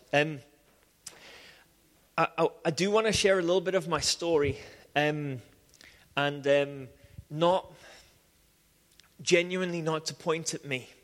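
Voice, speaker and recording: A man from Liverpool with a Liverpool accent, preaching a sermon with personal testimony in an animated way, full of restarts and "um"s. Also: fairly high pitch. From England. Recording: long hall reverb.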